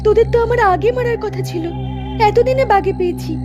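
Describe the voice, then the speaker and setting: Horror cartoon casting: vengeful girl ghost witch, extremely high voice, taunting menace, music behind. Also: fairly quick. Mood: gloating menace.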